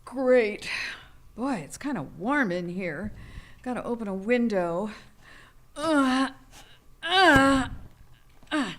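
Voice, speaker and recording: High, animated anxious wife, acted by a woman. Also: takes it slowly, long pauses. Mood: anxious, searching. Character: anxious, polite American wife, tourist guest. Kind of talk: live radio drama acting. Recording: live stage recording with background noise.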